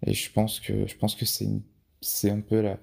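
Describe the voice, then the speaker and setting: Low gravelly young man, hesitant. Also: monotone, normal speed, darkish tone. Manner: hesitant, many restarts and fillers. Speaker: podcast guest, photographer. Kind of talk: casual interview answers.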